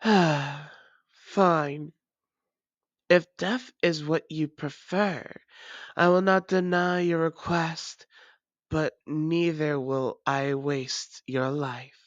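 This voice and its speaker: A woman as a slow, menacing vampire ruler. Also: deep voice, fairly even intonation, dry recording, highs cut off. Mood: resigned, cold. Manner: taunting, deliberate, imperious. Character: proud, menacing female vampire ruler, former hunter. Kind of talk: dramatic audio roleplay monologue.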